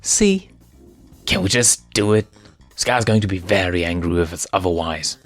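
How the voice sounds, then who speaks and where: A man's voice: low-pitched, moderate pace, faint music behind, lightly acted. A man voicing a nervous, hapless male hyena sidekick in a cartoon animal story. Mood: anxious.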